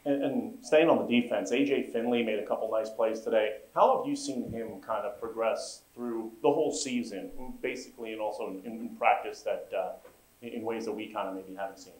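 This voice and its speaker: A man's voice: lowish voice, brisk, a little mellow. A man asking rambling, hesitant questions at a press conference. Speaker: reporter and moderator. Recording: echoey room.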